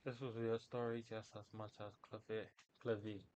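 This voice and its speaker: Male game streamer, slightly deep, informal and rambling, live commentary and read-aloud dialogue. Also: little pitch movement, normal speed, a little mellow, a little hiss or hum.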